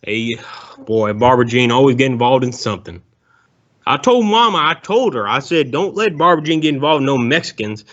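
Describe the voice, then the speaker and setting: A man doing a rambling Southern ex-convict with a thick folksy drawl, sir-ing every other breath. His voice sits at an ordinary middle pitch and comes through dull and lo-fi, like a phone call. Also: very animated, brisk, fairly bright tone. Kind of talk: prank call.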